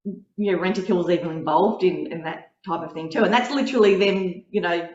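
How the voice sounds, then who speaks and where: Woman, podcast host, chatty and warm-natured, lo-fi roomy audio. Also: lowish voice, brisk. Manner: chatty, laughs often, restarts sentences. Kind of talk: casual video interview. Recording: dull lo-fi sound in a roomy space.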